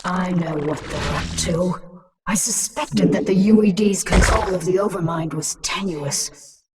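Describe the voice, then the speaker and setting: A woman's voice: lowish voice, unhurried, recorded from a distance, heavy background noise, natural voice. A woman playing a ruthless alien swarm queen in a video game, commanding and scornful in manner. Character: ruthless alien swarm queen, transformed human, imperious and taunting.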